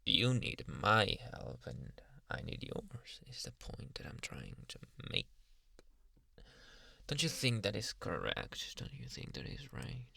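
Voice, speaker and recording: A man playing a possessive yandere psychiatrist in an ASMR roleplay, soft-spoken, polite and quietly menacing, with hushed, insinuating questions. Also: on the low side, very animated, creaky, no room sound, exaggerated voice. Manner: slow, hushed, insinuating, many pauses and questioning hums. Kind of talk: ASMR roleplay, close whispering.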